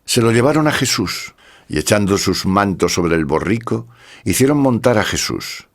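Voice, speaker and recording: A man giving a scripted narration of scripture in a solemn, measured way. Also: fairly low pitch, expressive.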